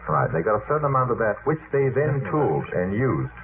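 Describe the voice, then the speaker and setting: Male radio panel guest, animated swooping delivery, emphatic storyteller, narrow-band vintage broadcast sound. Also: medium pitch, brisk. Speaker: guest writer and zoologist. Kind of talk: late-night radio panel discussion, recounting testimony. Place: radio studio. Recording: old radio broadcast, tinny and hissy.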